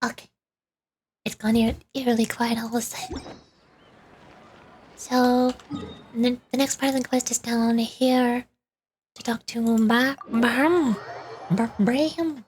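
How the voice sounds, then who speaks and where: A woman's voice: on the high side, steady tone, slow pace, long pauses, slight background noise. A woman livestreaming a game, chatting casually in a rambling way and stumbling as she reads dialogue aloud. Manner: rambling, stumbles over words while reading. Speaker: streamer, VTuber.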